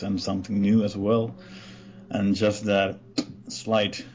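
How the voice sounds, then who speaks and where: A man from Finland with a Finnish accent, giving a casual interview about his band and often pausing with "uh.". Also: fairly low pitch, highs cut off. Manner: relaxed, halting with many uhs.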